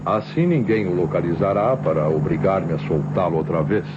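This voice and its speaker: An actor playing a shrewd, self-assured police inspector in an old Brazilian radio mystery, speaking emphatically and with some pomp in a Brazilian accent. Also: male voice, low voice, moderate pace, heavy background noise, close to an everyday voice. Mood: accusing, assured. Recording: muffled old radio recording, music behind.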